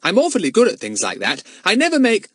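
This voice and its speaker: Man doing a boastful excitable boy, pompous, emphatic, high lively pitch, storybook dialogue. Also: fast talker, slightly rough, no room sound, studio quality, heavily acted. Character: boastful, excitable, self-satisfied schoolboy. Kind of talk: acted children's audiobook dialogue.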